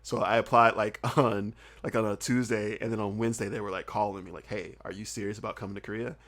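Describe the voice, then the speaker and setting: A young man with a faint Southern US accent, telling stories as a podcast guest, animated and laughing often, full of "like" and "uh". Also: lowish voice, fast talker. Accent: Southern US, mostly hidden. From southern United States. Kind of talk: casual storytelling chat.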